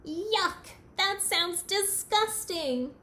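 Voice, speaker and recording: A woman's voice: high voice, animated, slow pace, bright, forceful tone, full character voice. A woman voicing a cranky, dramatic, hungry young male bird in a whiny, demanding way for a children's storybook. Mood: disgusted, ravenous. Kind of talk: storybook read-aloud for preschoolers.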